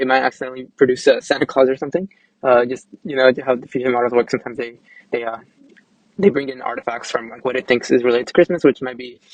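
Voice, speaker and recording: Casting: young man, developer walking through a screen demo, quick and informal with halting restarts, close dry sound. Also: mid-range voice, no echo, poor audio. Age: young adult. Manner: halting, hesitant, informal, repeats words. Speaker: app developer presenting a demo. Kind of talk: casual screen-recorded software demo.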